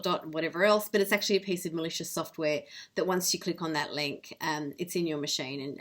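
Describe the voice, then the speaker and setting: A woman's voice: fairly low pitch, a bit bright. A woman giving an informal instructional presentation as a professional, explaining things in a plain-spoken, direct way. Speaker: legal professional presenting security tips.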